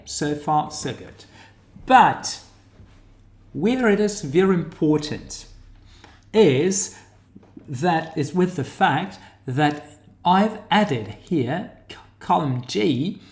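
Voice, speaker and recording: A man with a New Zealand accent giving a tutorial lecture while sharing his screen, pausing often to find his words as he explains. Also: a little high, echoey room. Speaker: academic lecturer.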